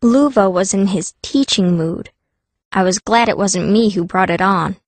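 A woman's voice: mid-range voice, unhurried, dry recording. A woman narrating a fantasy audiobook in the first person, as a young girl mage telling her story.

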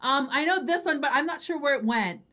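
A woman's voice: sits high, expressive, a little fast, sharp tone. A woman co-hosting a casual radio show, bubbly and giggly with frequent exclamations. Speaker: online radio show co-host. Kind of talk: casual bantering live show chat.

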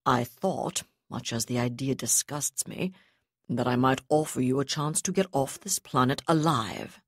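A woman voicing a cold, contemptuous male navy commodore who speaks with calm, clipped precision. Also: rumbling low, unhurried, no echo, clean studio sound, heavily acted. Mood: icy.

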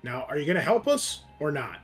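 A man's voice: a little high, big pitch swings, fairly quick. A harried, defensive prison warden, acted by a male game master in a tabletop game. He is curt, bossy and swears freely. Faint music plays underneath. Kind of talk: tabletop role-play acting.

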